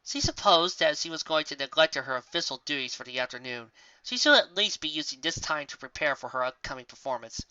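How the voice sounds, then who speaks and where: Bright-voiced dramatic story narrator, dull recording. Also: medium pitch, no echo. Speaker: audiobook reader. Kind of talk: scripted narration of fan fiction story, dramatic.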